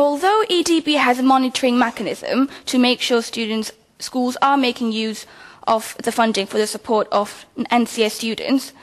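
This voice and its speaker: A young woman from Hong Kong, a university student, giving measured, formal testimony at a committee hearing. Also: fairly high pitch. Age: young adult. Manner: measured, formal, reads from notes. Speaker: student deputation speaker. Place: legislative committee meeting room.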